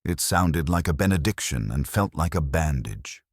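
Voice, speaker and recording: A man narrating a scripted first-person detective story in a dry, wry, measured way. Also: very deep voice, animated, husky, dry recording. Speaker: audiobook narrator.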